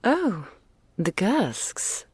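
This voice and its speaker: A woman's voice: mid-range voice, big pitch swings, extremely slow, a little mellow, husky, no echo, full character voice. A woman voicing a doting, regal female dragon in human form, speaking intimately to the listener in ornate, archaic phrases as an ASMR roleplay. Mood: doting, delighted.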